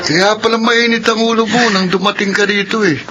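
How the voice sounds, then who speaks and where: An actor playing a shady, eager accomplice in a Filipino radio drama. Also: male voice, high-pitched, steady tone, brisk, highs cut off, loud music behind the voice, natural voice. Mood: sly, approving.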